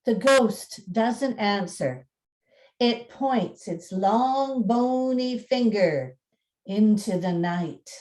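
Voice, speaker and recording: A woman's voice: mid-range voice, lively intonation, extremely slow, darkish tone. A woman co-presenting and narrating, moving between informal talk and storybook reading. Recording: roomy echo.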